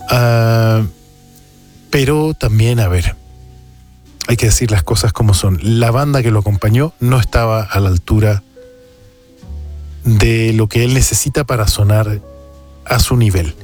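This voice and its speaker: Low-voiced male jazz radio host. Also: a little mellow. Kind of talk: casual music commentary. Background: music playing behind the voice.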